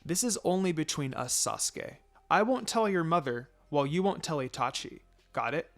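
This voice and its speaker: A man voicing a young female ninja in a story reading, firm and sardonic but secretly soft-hearted. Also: fairly high pitch, lively intonation, normal speed, vocal fry, faint music behind. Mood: gentle concern.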